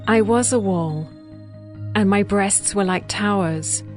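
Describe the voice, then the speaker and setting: A woman playing a tender young bride passionately in love, reading ancient poetry slowly and intimately in a dramatized scripture audiobook. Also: mid-range voice, soft background music, natural voice. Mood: wistful, devoted. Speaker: actress in a dramatized Bible audiobook.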